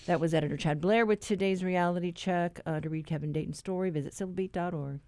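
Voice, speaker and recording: A woman's voice: fairly low pitch, quick pace, dark tone, no room sound. A woman hosting a public radio program in a conversational manner on a studio microphone. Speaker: radio host. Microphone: close studio microphone.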